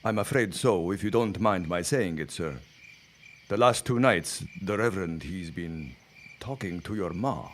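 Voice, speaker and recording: An actor playing a deferential, grave farm servant in a period audio drama, hushed and respectful. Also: male voice, deep voice, lively intonation, unhurried, slight background noise. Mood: grave, uneasy. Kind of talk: period radio drama acting.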